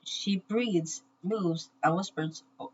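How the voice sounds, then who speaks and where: A woman reading a children's story aloud in measured short phrases with pauses, on a muffled, noisy recording. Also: lowish voice. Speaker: children's book reader.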